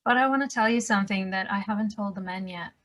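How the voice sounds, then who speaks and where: A woman's voice: medium pitch, fast, a bit bright, close to an everyday voice. Brief: actress as practical female survivor, understated dry manner, mid-range voice, script read. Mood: anxious, gentle. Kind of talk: table read of a TV pilot script.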